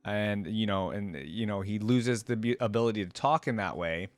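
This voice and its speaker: A man's voice: on the low side, normal speed, no echo. Man musing casually, close dry sound. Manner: rambling, musing, often posing questions. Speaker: reaction channel host. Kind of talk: casual unscripted reaction commentary addressed to viewers.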